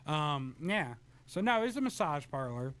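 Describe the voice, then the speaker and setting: A man's voice: fairly high pitch, a little slow. A young man hosting a talk radio show, joking and bantering casually into a studio mic. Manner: joking, animated, sometimes puts on silly voices.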